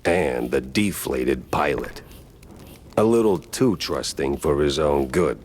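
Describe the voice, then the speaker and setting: An actor playing a hard-boiled, world-weary mouse private detective in a noir cartoon, with a low, gruff American voice and a wry, laconic, slangy way of talking. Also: male voice, lively intonation, slow pace, darkish tone, a little hiss or hum, heavily acted. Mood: brooding, wry. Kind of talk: 1930s noir detective acting with brooding voice-over.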